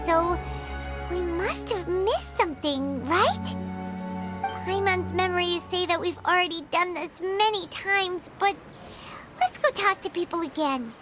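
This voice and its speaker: Squeaky, very high childlike fairy companion. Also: female voice. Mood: thoughtful. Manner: talks of herself in the third person, expressive. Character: small childlike female fairy companion, earnest, chatty. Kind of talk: English game dub cutscene dialogue.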